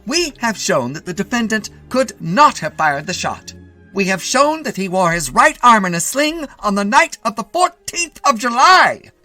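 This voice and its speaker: A man voicing an outraged, bombastic defense attorney in a story reading, shouting indignantly. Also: sits high, very animated, normal speed, no echo, faint music behind, pitched above the performer's own voice, full character voice.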